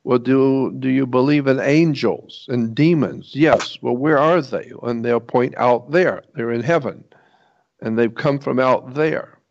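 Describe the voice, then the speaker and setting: A man giving an informal explanatory lecture in a halting, rambling way, repeating points for emphasis. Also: medium pitch. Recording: dull, lo-fi muffled audio.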